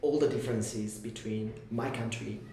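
A man's voice: mid-range voice, steady tone, moderate pace, warm tone. Japanese man talking in a very echoey room. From Tokyo, Japan. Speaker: interview guest, startup investor. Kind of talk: casual conversational interview. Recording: very echoey room, faint background noise.

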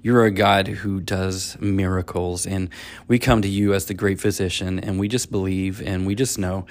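A man's voice: low-pitched, little pitch movement, moderate pace. A man hosting a faith talk, encouraging his guest and leading a prayer. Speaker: host.

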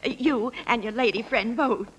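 A woman's voice: fairly high pitch, moderate pace, fairly bright tone, breathy edge, low-quality recording. A woman playing a folksy, rambling old widow landlady in a vintage radio drama. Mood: chatty, fussy. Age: older. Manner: rambling, quaint, over-explaining. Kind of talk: 1940s radio drama acting. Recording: old-time radio recording.

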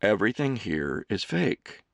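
A man's voice: fairly low pitch, big pitch swings, measured pace, gravelly, no room sound, heavily acted. Man doing a flustered, stammering young man. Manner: nervous, stammering.

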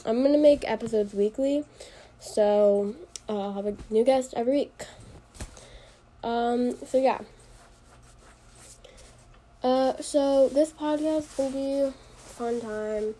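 A woman's voice: fairly high pitch, slow pace, pauses often, mellow, low-quality recording. A young woman hosting a casual solo podcast, congested from allergies, rambling and joking at her own expense with lots of fillers. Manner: rambling, self-deprecating, full of fillers. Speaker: podcast host.

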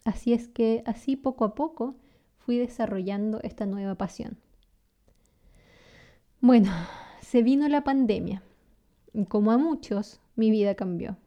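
A woman's voice: fairly high pitch, measured pace, long pauses, highs cut off. A Chilean woman in her thirties with a Chilean Spanish accent, hosting her own podcast and telling stories with frequent light laughs. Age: 30s. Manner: warm, storytelling, laughs often. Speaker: podcast host and teacher. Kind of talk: scripted podcast monologue.

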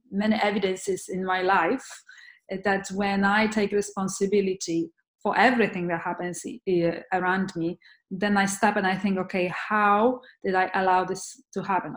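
Woman, from Poland, interview guest, earnest, halting restarts, roomy echo. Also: mid-range voice. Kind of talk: conversational interview.

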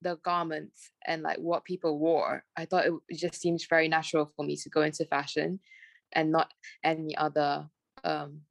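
Young adult Malaysian woman, mixed transatlantic accent, lowish voice, dry close sound, rambling guest. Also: flat delivery, normal speed, creaky, no room sound. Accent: Malaysian with British and American traces. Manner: rambling, many "like" and "um" fillers, self-restarts. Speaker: guest, fashion graduate. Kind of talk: casual podcast interview.

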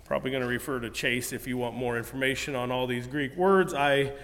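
A man's voice: mid-range voice, steady tone, brisk, fairly bright tone. A man preaching a sermon to a congregation, earnest and conversational, often restarting his sentences. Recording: long hall reverb.

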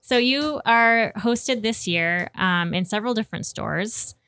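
A woman's voice: fairly high pitch, a little slow, a bit bright, slight background noise. A woman hosting a casual podcast interview in a chatty, agreeable way.

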